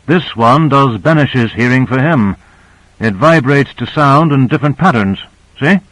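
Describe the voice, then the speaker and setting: A man's voice: medium pitch, animated, unhurried, fairly bright tone, dry recording, voice pushed up, poor audio. A man voicing a knowing, assured male scientist who explains things in an audiobook. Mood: explaining, intrigued.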